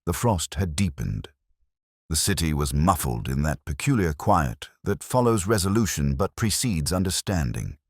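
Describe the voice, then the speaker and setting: Very deep, weary detective played by an actor. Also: male voice, no room sound, clean studio sound. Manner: measured, literary, sombre delivery. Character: weary, melancholy, reflective male English detective narrator. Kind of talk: scripted first-person mystery narration.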